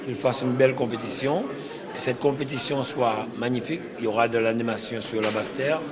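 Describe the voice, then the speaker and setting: A man's voice: mid-range voice, fairly even intonation, brisk. A man speaking as a regional official, giving a confident press statement. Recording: crowd audible behind. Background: crowd chatter.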